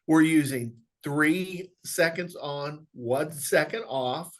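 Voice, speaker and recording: A man presenting an instructional software walkthrough, explaining step by step in a conversational way. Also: fairly high pitch, slow pace. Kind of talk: instructional screen walkthrough, informal webinar.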